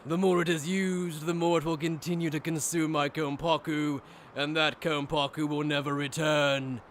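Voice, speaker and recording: Man acting a smug, mocking noble villain, higher-pitched. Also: little pitch movement, moderate pace, fairly bright tone, slight background noise, natural voice. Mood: gloating amusement. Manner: mocking, theatrical, verbose. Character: smug, cruel, treasonous nobleman swordsman villain. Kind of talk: dramatic fan-dub voice acting.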